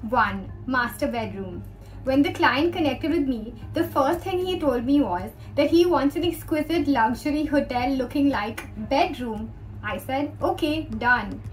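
A young woman, an interior designer, talking to the camera in an upbeat, explanatory way as she presents a design tour. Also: high voice. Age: young adult. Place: green-screen studio, indoors. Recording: distant mic with background music.

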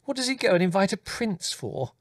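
A flustered middle-aged English mother, played by a male narrator, pitched well up. The delivery is fussy and exclaiming, easily exasperated, as in a storybook reading. The sound is dry and close. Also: lively intonation, normal speed, no room sound. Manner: quavering, exclaiming, fussing.

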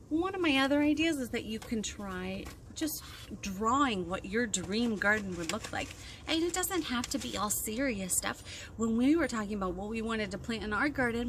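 A woman in her thirties hosting a children's storytime outdoors, talking casually and encouragingly to young kids as she explains activities. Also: on the high side. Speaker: children's librarian and storytime host. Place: outdoors on a backyard deck. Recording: outdoor recording with wind and ambient noise.

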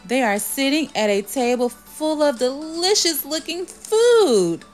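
Storybook rooster, played by a woman: high, excited, crowing and sing-song, music underneath. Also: measured pace. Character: eager singing rooster with crowing calls.

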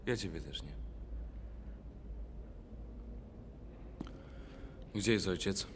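An actor playing a curt, guarded and troubled estranged son in an audio drama. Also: male voice, sits low, a little fast, a little hiss or hum, natural voice. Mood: cold, subdued.

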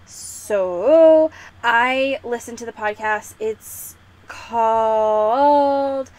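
A woman's voice: a little high, extremely slow, a little mellow, poor audio. A young woman hosting a podcast in a casual, sweary way, peppering her talk with "like". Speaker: podcast host.

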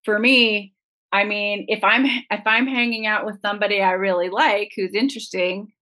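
A woman's voice: medium pitch, fairly even intonation, moderate pace, pushed and bright. A woman guest on a podcast, talking frankly and earnestly about cooking with a friend. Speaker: guest, TV presenter. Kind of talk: casual interview chat.

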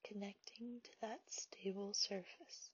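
Softly whispering woman guiding meditation. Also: medium pitch, fairly even intonation, slightly rough. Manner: soft, half-whispered, slow and soothing. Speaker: meditation guide. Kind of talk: guided meditation. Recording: dull lo-fi dry recording.

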